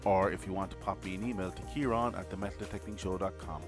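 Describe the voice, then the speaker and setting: A man hosting a podcast, asking questions in a friendly, energetic way. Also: low-pitched, fast, music in the background. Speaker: podcast host. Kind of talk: casual podcast interview.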